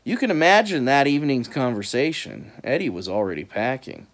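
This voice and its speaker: A woman reading a children's book aloud in an animated, dramatic storytelling style. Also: rumbling low, brisk. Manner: dramatic, expressive delivery.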